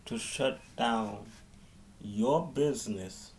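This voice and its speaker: A man giving an improvised public address as a mayor, halting and often repeating and restarting his phrases. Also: mid-range voice, a little mellow.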